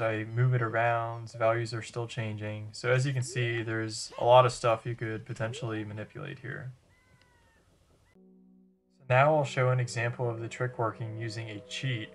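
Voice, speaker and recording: A man presents a technical gameplay tutorial in a fairly low voice. His delivery is very even and matter-of-fact, moving methodically from step to step. Faint music plays underneath. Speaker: video presenter demonstrating a game glitch. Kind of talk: explanatory tutorial narration over gameplay.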